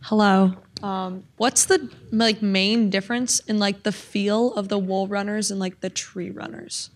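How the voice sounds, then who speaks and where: A woman's voice: mid-range voice, fairly even intonation, measured pace. A young woman in the audience asking a tentative question, full of "like" fillers.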